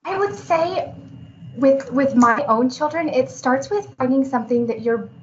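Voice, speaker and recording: A woman's voice: high-pitched, expressive, unhurried. A woman teacher presenting a webinar in a conversational, encouraging and reassuring way. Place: remote online video call. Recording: dull online webinar audio with background noise.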